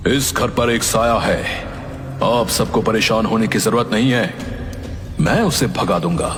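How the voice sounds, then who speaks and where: A man voicing a grave village elder in a cartoon story, with a measured, expressive manner. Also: on the low side, a little hiss or hum. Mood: reassuring.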